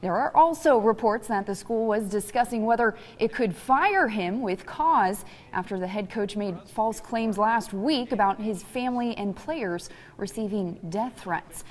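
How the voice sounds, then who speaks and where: A woman's voice: mid-range voice, animated, unhurried, darkish tone, slight background noise. American woman sports anchor with studio reverb. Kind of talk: scripted sports news reading.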